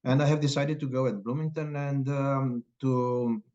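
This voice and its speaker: Man, Romanian accent, middle-aged, halting academic talk, mid pitch, muffled recording. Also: steady tone, a little mellow. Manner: halting, many filler pauses. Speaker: guest scholar. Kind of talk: academic interview.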